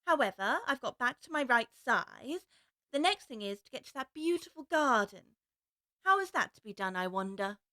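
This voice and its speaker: A polite, bewildered little girl in an audiobook story. Her voice is high and bright and swings expressively as she earnestly puzzles things out. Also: very animated, moderate pace. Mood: musing. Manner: earnest, proper, wondering aloud. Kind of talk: full-cast audiobook acting.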